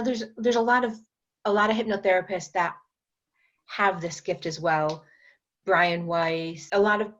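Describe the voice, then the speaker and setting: A woman with a fairly low voice talks through her energy work slowly, circling back with fillers and restarts. The recording sounds dull and lo-fi, muffled at the top end. She is a guest on a podcast interview. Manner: unhurried, explanatory, many fillers and restarts. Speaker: guest, metaphysician and energy trainer.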